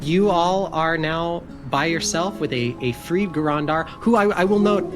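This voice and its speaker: Casual medium-pitched game master. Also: unhurried, loud music behind the voice. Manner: casual, descriptive. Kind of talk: narrating a tabletop game.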